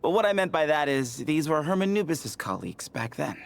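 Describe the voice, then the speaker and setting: A man voicing an easygoing, teasing young temple scholar in a video game, explaining lore in a clear, playful way. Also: fairly high pitch, big pitch swings, fairly quick, slight background noise. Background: soft game music.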